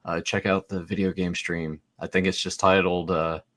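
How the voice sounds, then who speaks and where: A man's voice: deep voice, little pitch movement, normal speed, fairly bright tone. A man with a Southern American accent, co-hosting a podcast in a rambling casual chat full of restarts. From Southern United States. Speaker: podcast co-host.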